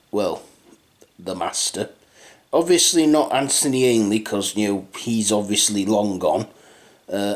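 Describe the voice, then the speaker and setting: A man giving an unscripted fan review in a rambling, hesitant way, often trailing off and starting again. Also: on the low side. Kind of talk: unscripted audio drama review.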